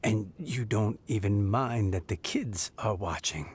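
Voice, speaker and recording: An actor playing a gentle, sentimental old man in a video game, speaking with tender wistfulness. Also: fairly low pitch, a little slow, husky. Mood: moved, tender.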